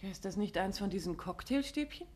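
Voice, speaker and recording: An actress playing a detective's attentive, cautious wife in a 1950s German radio play, with an earnest, questioning manner. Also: female voice, medium pitch, expressive, a little fast, darkish tone, slightly rough. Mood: puzzled.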